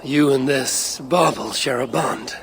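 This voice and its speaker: Vengeful Norse god and father: slow, grave, creaky voice, intense game drama, faint noise behind. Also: mid-range voice. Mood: grimly resolved. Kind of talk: dramatic video game cutscene acting.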